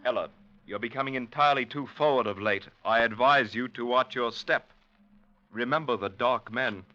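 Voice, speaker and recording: A man's voice: mid-range voice, normal speed, pushed and bright, natural voice. An actor playing an urbane, condescending older man in a sinister secret society, with a pompous, patronizing manner in old-time radio drama style. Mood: stern, menacing. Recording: dull old radio recording.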